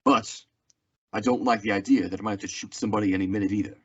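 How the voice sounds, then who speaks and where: A man's voice: on the low side, fairly even intonation, fast, husky. A young man acting a weary, plainspoken Old West marshal in a radio Western drama. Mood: firm, troubled. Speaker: actor in a school radio theatre production. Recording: dull lo-fi radio-play recording.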